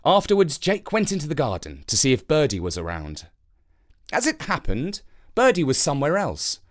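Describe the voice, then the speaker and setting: A narrator reading a scripted children's story with expressive, deliberate phrasing. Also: medium pitch.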